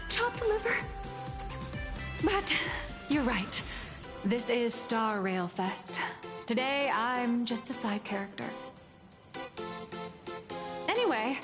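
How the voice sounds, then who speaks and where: High-voiced, dramatic comic artist. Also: female voice. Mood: indignant, then resigned, cheerful. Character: bubbly, self-important female comic artist. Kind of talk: video game character dialogue. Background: game music.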